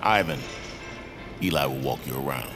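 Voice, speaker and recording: Gruff, low-pitched asylum orderly. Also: male voice, animated, moderate pace, over music. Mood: grudging. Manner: curt, commanding. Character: gruff, impatient, bullying male asylum orderly. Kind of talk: audio drama acting.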